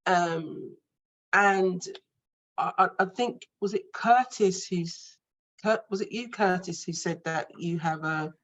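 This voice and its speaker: A woman with a British accent speaking thoughtfully and haltingly in an online group discussion, with many fillers. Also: fairly low pitch. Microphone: video call.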